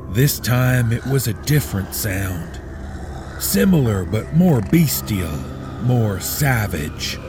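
A man narrating a horror story in a measured, grave, ominous delivery. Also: lowish voice. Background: background music.

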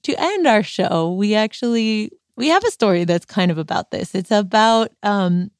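A woman's voice: medium pitch, a little mellow, no echo, studio quality. A woman hosting in a casual, conversational way, thinking aloud as she goes. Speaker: radio show host.

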